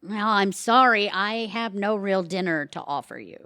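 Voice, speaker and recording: A woman's voice: medium pitch, expressive, normal speed, pushed and bright, no echo, clean studio sound. A woman playing a harried, sardonic middle-aged mother in a comedic audio drama, dramatic and wry. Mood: welcoming, flustered. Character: harried, sardonic mother hosting a chaotic holiday.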